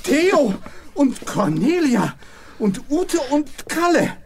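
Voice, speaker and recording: An agitated school head from a children's audio drama. The voice is fairly high and gravelly, swinging widely in pitch. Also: female voice, sing-song, slow, a little mellow, exaggerated voice.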